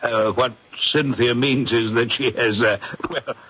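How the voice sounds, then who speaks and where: An actor playing a courteous aristocratic host, polite and halting, in an old radio drama. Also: male voice, medium pitch, fairly even intonation, fairly bright tone, breathy edge. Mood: hesitant. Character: courteous, hesitant aristocratic country-house host. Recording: muffled 1970s radio recording.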